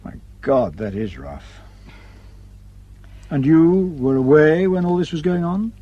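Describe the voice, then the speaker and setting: Police superintendent, husky, mellow, courteous, inquisitive, radio drama. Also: male voice, on the high side, big pitch swings, takes it slowly, dull audio, heavily acted. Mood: courteous, curious. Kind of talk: naturalistic radio drama acting.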